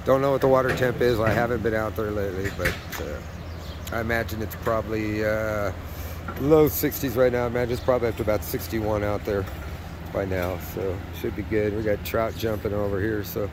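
A man's voice: lowish voice, fairly even intonation. A man hosting a casual fishing report outdoors by a river, talking in an easygoing, rambling way with plenty of fillers. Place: outdoors by a river on a windy day. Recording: windy outdoor camera audio with engine noise. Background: wind, rushing water and a running truck.

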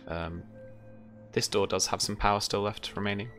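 A young man narrating a tabletop game as game master, in halting, thoughtful phrases, speaking indoors over an online call. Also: fairly low pitch, steady tone, a bit bright. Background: faint music.

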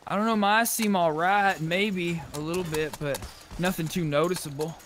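A man with a slightly high voice talks conversationally, improvising in character during an online game. There is faint noise and ambience behind him. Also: fairly even intonation, normal speed, a bit bright. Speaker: role-play gamer. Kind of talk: improvised in-character chat while gaming.

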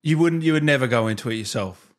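A man in his thirties with a mid-range voice hosts a relaxed, casual chat. He asks short, informal questions, speaking close to a desk microphone. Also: mid-range voice, fast. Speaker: host, interviewer. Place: small indoor streaming room. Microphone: desk microphone close up, headset on.

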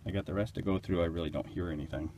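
A man's voice: low voice, fairly even intonation, racing, gravelly, mellow, a little hiss or hum. A man casually narrating as he inspects his beehives outdoors, hesitant and unsure, thinking aloud. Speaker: beekeeper.

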